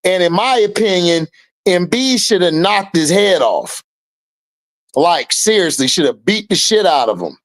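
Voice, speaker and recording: A man's voice: high-pitched, very animated, unhurried. A man, hosting a casual sports stream, blunt and heated and swearing freely. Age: 30s. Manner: blunt and heated, swearing freely, rising loudly when riled. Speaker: host of an online sports and commentary stream. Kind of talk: casual opinionated commentary, reacting to chat.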